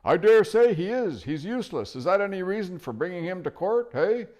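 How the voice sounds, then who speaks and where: A man voicing an old, deaf, irritable male dwarf who blusters and complains loudly. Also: high voice, big pitch swings, a little fast, voice pushed up. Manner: cranky, blustering.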